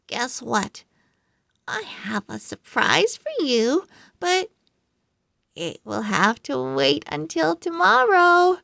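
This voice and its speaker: A woman's voice: very high-pitched, vocal fry, bright, forceful tone, no room sound, studio quality, pitched above the performer's own voice, heavily acted. A woman voicing an affectionate, gushing young girl who coos sweetly at her pet in a children's storybook.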